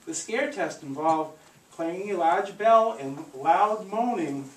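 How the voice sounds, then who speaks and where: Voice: mid-range voice, takes it slowly. A storyteller reading aloud to a live group in a theatrical, encouraging way, with coaching asides. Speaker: storyteller leading a live audio theatre show. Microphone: handheld camcorder at a distance. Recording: echoey room, distant camcorder mic, noisy.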